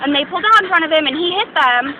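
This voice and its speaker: A woman's voice: high-pitched, lively intonation, rapid-fire. An American woman in casual conversation, answering questions as an interviewee. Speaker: emergency dispatcher being interviewed. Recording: noisy outdoor recording with traffic.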